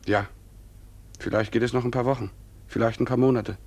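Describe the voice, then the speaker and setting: An actor playing a grave, stubborn farmer husband who is convinced of doom, in a 1950s German radio play. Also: male voice, mid-range voice, steady tone, fairly quick, a little mellow, close to an everyday voice. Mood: gloomy. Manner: grave, resolute.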